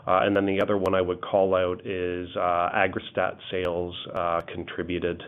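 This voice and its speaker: A man's voice: deep voice, completely flat delivery, normal speed. A male company executive explaining financial results in answer to questions on an investor call. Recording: narrow tinny phone line.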